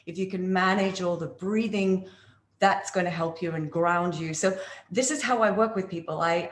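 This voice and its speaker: A woman voice coach talks through her methods in a relaxed interview. Her delivery is expressive, and she swings between high and low tones to demonstrate. The recording carries noticeable room echo. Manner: expressive, demonstrates pitch swings while explaining. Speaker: voice coach guest. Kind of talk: casual interview chat.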